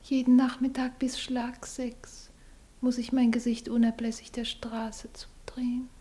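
An actress in a radio play playing a mysterious, anguished lady, hushed, solemn and melodramatic. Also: on the high side, flat delivery, measured pace, close to an everyday voice. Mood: hushed, tormented. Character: mysterious, anguished lady awaiting a dreaded fate. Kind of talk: German radio play acting. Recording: faintly noisy radio play recording.

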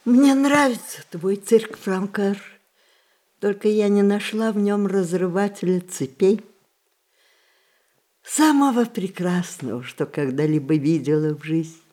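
An actress playing a spirited, imperious old woman in a radio play, coaxing and reminiscing in an expressive, theatrical way. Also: medium pitch, a little slow, lightly acted. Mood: inquisitive. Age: older.

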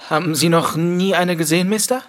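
A man's voice: high voice, fairly quick, fairly bright tone. A man playing an uneasy, stubborn thirteen-year-old boy in a radio play, hesitant and guarded.

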